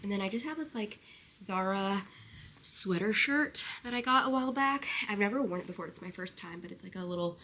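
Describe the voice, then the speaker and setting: A woman's voice: mid-range voice, a little fast, pushed and bright, a little hiss or hum. A young woman, a teacher, chatting casually to her phone camera with a stuffed-up nose, filling her talk with "like". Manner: chatty, many "like"s, talks to camera. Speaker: teacher and vlogger. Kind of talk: casual vlog chat.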